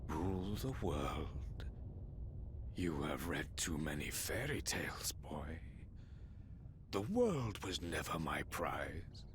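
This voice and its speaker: A man's voice: rumbling low, sing-song, slow, a little hiss or hum, exaggerated voice. A man voicing a grave, bitter fallen king in a video game cutscene, solemn and theatrical, sometimes near a whisper. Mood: cold, scornful.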